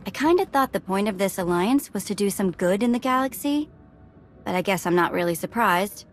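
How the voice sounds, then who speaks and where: Actress as spirited, wry young alien adventurer. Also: female voice, medium pitch, lively intonation, fairly quick, faint music behind, natural voice. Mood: earnest reproach. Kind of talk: video game cutscene acting.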